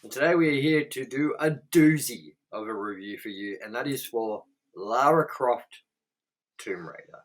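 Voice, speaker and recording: Young male host, mid-pitched, blunt and sweary, casual review banter. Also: moderate pace, low-quality recording. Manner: swears a lot, blunt and frank.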